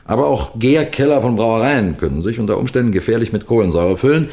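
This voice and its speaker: A man acting a shrewd middle-aged police detective in a radio mystery, with a deliberate, lecturing manner that builds suspense through pauses. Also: medium pitch, animated, a little mellow, natural voice. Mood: explanatory. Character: shrewd, unhurried senior police detective explaining a case. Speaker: detective narrator.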